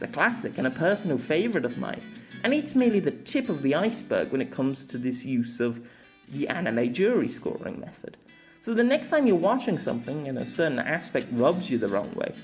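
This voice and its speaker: Audio essay narrator, mid-pitched with animated intonation, articulate and reflective, light guitar music underneath.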